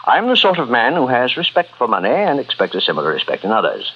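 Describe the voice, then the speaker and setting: An actor playing a fussy, falsely genial, sinister employer who mutters and repeats himself in an old-time radio horror drama. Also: male voice, medium pitch, huge pitch swings, fast talker. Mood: smooth, officious. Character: fussy, courtly, sinister male employer and murderer. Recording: muffled, noisy old radio recording.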